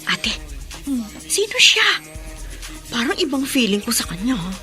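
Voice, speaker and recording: An actress playing a teasing, excitable younger sister in a radio drama, with a girlish manner and a Filipino accent. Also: on the high side, a little slow, faint music behind, heavily acted.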